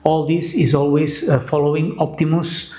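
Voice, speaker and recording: A man's voice: a little high, steady tone, moderate pace, mellow. A man who is a non-native English speaker gives a semi-scripted product presentation, explaining step by step in a hesitant way with frequent check-in tags. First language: not English. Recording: echoey room with music underneath. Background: music.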